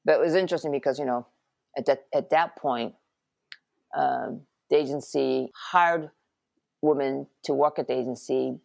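A middle-aged woman from Japan, an animation producer, recounting her career in a relaxed interview with frequent ums and restarts. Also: a bass voice, moderate pace, creaky, dry recording, studio quality. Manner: reflective, many ums and restarts.

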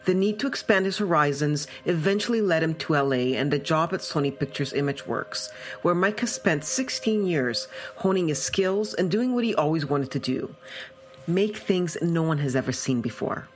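A woman's voice: low voice, expressive, moderate pace, soft background music. A woman hosting a podcast, reading a scripted introduction and asking curious interview questions. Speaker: podcast host.

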